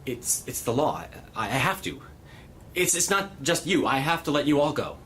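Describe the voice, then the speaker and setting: A man's voice: a little high, animated, fairly bright tone, slight background noise. A man voicing a nervous male boss who stammers and apologizes. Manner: halting, stammering.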